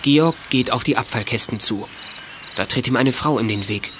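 A man's voice: medium pitch, normal speed, close to an everyday voice. A man reads scripted, matter-of-fact narration for a children's detective audio drama. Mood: narrating. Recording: clearly noisy old audio play recording.

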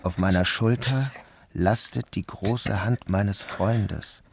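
A man giving a measured, subdued first-person narration of a novel. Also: on the low side, vocal fry, background noise.

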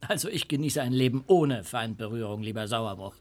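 A man playing a sarcastic gentleman. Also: mid-range voice, animated, brisk, close to an everyday voice. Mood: mocking. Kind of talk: radio play acting.

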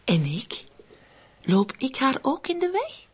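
An actress with a Flemish accent playing a cool, sly, mocking accomplice in a radio drama, wry and teasing. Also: female voice, sits high, very animated, slow, breathy edge, exaggerated voice. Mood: cool, sceptical.

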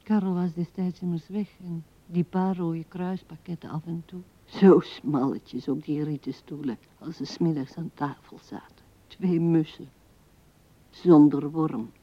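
Old widow lady musing tenderly to her dead husband, radio drama, slow and hushed, very dry muffled old recording. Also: deep voice, husky, dry recording, heavily acted. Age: older. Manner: wistful, halting, often dropping to a whisper. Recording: dull 1970s radio recording.

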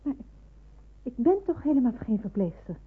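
A woman's voice: fairly high pitch, very animated, moderate pace, breathy edge. A woman acting an eager, deferential teenage girl who wants to be a nurse, in an old radio play, sounding meek and hesitant. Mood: meek, grateful. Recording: muffled narrow-band old radio recording.

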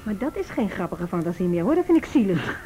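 A woman's voice: mid-range voice, sing-song, mellow, slight background noise, natural voice, poor audio. An actress playing a prickly, teasing young female student with a Netherlands Dutch accent in a naturalistic radio drama. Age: young adult. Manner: teasing, quick retorts. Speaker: actor in a radio play.

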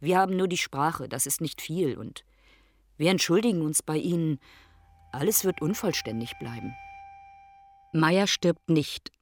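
A performer playing a grave, reflective narrator in a literary radio drama, speaking in measured, short statements. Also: medium pitch, animated. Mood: subdued, reflective. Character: grave, reflective narrator recounting a dying friend.

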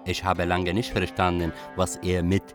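A man acting as a reflective Iraqi storyteller, pensive and measured, in a literary radio play. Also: sits low, monotone, over music, lightly acted. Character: reflective male Iraqi storyteller recalling childhood. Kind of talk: literary first-person radio play narration.

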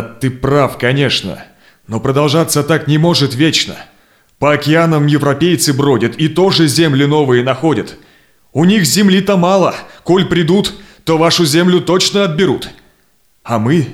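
An actor playing a steadfast, conciliatory Cossack envoy in a verse audio drama, speaking in measured, persuasive declamation. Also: male voice, a little high, natural voice. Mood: reasoning, persuasive. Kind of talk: theatrical verse drama acting.

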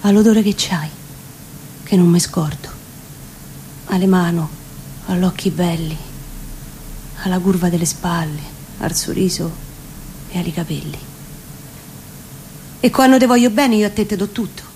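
A woman with a Roman accent reciting a theatrical spoken-word monologue, deliberately, phrase by phrase. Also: on the low side, mellow, poor audio. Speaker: reciting performer. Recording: faint ambient background noise.

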